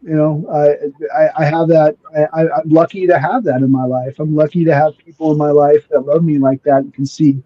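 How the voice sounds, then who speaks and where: A man's voice: fairly high pitch, steady tone, a little fast, slight background noise. A man whose first language is Spanish, chatting casually in a laid-back, slangy way, often repeating words as he feels for the thought. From near Mexico, spent most of life in Mexico. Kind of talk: casual spiritual conversation. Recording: dull lo-fi sound.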